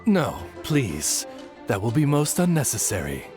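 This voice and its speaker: A devoted raven familiar from an anime game. He speaks with formal, butler-like courtesy in a mid-range voice with lively, rolling intonation. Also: mid-range voice, unhurried, over music. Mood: formal, deferential.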